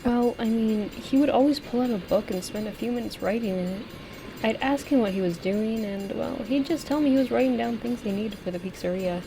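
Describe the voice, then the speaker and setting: A woman performs a sympathetic confidante in an audio drama. Her voice is on the high side, with an earnest, hesitant, apologetic delivery. Background noise is clearly audible. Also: fairly quick. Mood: musing. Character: Christy, earnest, sympathetic female listener among hunters.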